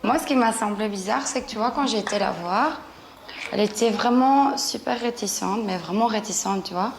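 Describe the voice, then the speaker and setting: A woman's voice: medium pitch, moderate pace, vocal fry, roomy sound, a little hiss or hum. A young woman on a reality show, talking in a chatty, questioning way. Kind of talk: reality TV conversation.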